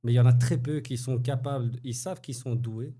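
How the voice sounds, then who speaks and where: A man's voice: medium pitch, steady tone, quick pace, warm tone. A man co-hosting a podcast, arguing football tactics in a casual debate, insistent and explaining at length. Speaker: podcast co-host.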